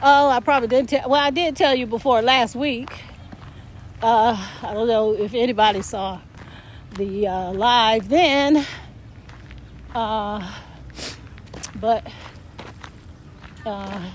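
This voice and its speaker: An older woman chatting casually while walking uphill outdoors, a bit hoarse and winded, rambling with lots of fillers. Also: on the high side, slight background noise. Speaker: vlogger. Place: outdoors in a park field.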